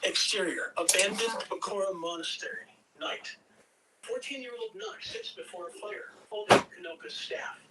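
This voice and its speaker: A person reading stage directions in scripted narration at a table read, with measured delivery. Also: mid-range voice, wildly swooping pitch. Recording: distant mic, faint background noise.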